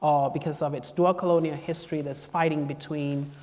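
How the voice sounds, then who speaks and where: A man's voice: a little high, fairly even intonation, a little fast, darkish tone, long reverb tail. A man from Cameroon, a guest speaker telling his story to a campus audience, chatty and playful. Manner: chatty and playful, often laughing. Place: campus lecture hall.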